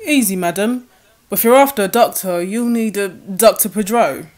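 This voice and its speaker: Woman playing a plain-spoken chauffeur, medium pitch. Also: moderate pace, darkish tone, close to an everyday voice. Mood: helpful. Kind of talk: 1930s-set radio play acting.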